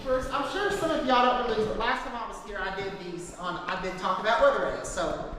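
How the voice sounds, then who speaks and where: A woman giving an informal class talk, partly reading from notes, speaking haltingly and repeating her phrases. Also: mid-range voice, fairly bright tone. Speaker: presenter teaching a class. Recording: echoey hall, distant mic, noisy background.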